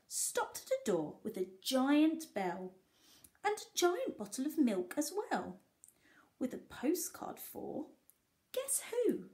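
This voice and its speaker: A woman's voice: a little high, mellow. A woman teacher reading a picture book aloud to young children, expressively, with coaxing questions to the listeners. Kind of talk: animated picture-book read-aloud with asides.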